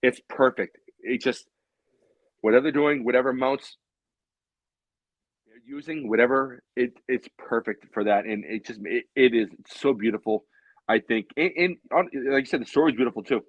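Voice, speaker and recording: A man's voice: medium pitch, normal speed, no echo, highs cut off. A man in his late thirties chatting casually as a show co-host, in a rambling way that repeats phrases for emphasis. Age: late 30s. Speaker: co-host of a beer hangout show. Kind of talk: casual chat.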